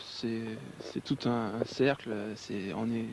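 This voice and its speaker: A young man answers interview questions in a medium-pitched voice. He is very halting, stopping and repeating words as he goes, with faint noise behind him. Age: young adult. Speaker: student interviewee, apprentice.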